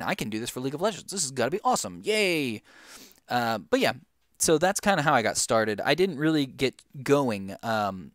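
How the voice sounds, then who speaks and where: A man's voice: fairly low pitch, fairly bright tone, no room sound, clean studio sound. A young man answering viewer questions in a casual, rambling way, with lots of fillers and restarts. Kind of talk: casual Q&A chat.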